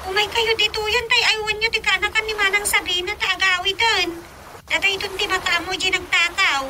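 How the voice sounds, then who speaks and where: A woman's voice: extremely high pitch, fairly even intonation, brisk, background noise. A woman voicing an urgent, insistent young daughter in a radio drama comedy, pleading as she talks.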